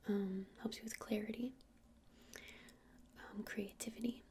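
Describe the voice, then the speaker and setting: A woman's voice: mid-range voice, little pitch movement, unhurried, slight background noise, lightly acted. Woman as a whispering, friendly witch shopkeeper. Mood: gentle, helpful. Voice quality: soft breathy whisper. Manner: hesitant, informal explaining. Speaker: ASMR roleplayer. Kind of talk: whispered ASMR roleplay.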